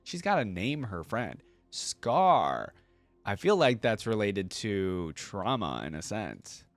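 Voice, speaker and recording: A man's voice: fairly low pitch, very animated, slow pace, no echo, faint music behind. A man giving casual, chatty commentary as a reaction video host. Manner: chatty, easily amused.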